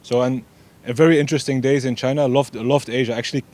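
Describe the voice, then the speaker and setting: A man's voice: medium pitch, steady tone, quick pace, a little mellow. A young man from Belgium, an eager race director chatting as an interview guest. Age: young adult. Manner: eager, repeats "yeah" often, loose non-native grammar. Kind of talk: casual interview chat.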